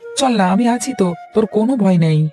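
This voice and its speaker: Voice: medium pitch, expressive, fast, warm tone, soft background music, lightly acted. A performer playing an earnest, supportive young village boy in a cartoon fairy tale. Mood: earnest, encouraging. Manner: earnest, agreeable, encouraging.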